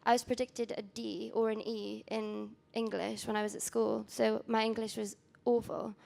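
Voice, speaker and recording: A young woman whose first language is Welsh presents her own magazine on a panel. Her voice sits a little high with a gravelly edge and stays fairly flat in tone. She talks casually and loosely, full of ums and self-corrections. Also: normal speed. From Wales. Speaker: magazine founder giving a talk.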